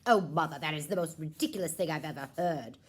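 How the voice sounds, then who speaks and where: A woman acts out a resigned young prince in a puppet show. His voice sits low and deadpan. He placates meekly and mutters his asides. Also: fast.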